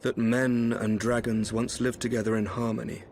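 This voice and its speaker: A man's voice: medium pitch, little pitch movement, moderate pace, darkish tone, a little hiss or hum, close to an everyday voice. Grave, diplomatic elven lord, played by a man. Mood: measured, approving. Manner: formal, considered. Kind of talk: dramatic fantasy video game acting.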